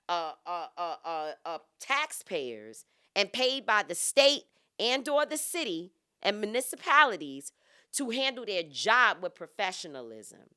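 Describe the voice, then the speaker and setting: A woman's voice: medium pitch, sharp tone, clean tone. A woman co-hosting a discussion show, making her points emphatically and repeating phrases for stress. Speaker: podcast co-host. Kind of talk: impassioned discussion.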